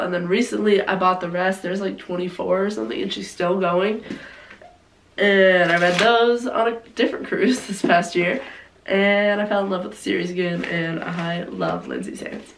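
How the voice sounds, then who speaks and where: A hoarse young woman chatting casually about her favorite books, gushing and saying "like" often, with a cough now and then. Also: medium pitch, normal speed. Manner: gushing, heavy use of "like". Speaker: book vlogger. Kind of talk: casual book review chat. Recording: roomy, distant mic, faint noise.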